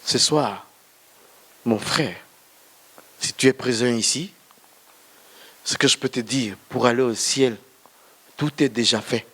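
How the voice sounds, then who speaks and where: A man's voice: mid-range voice, slow, long pauses, bright, forceful tone, breathy edge. Guy preaching in church, not a native french speaker, telling his story and asking people to decide, plain middle voice. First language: not French, another mother tongue. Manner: storytelling, addresses listeners directly. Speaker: pastor. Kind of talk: testimony sermon.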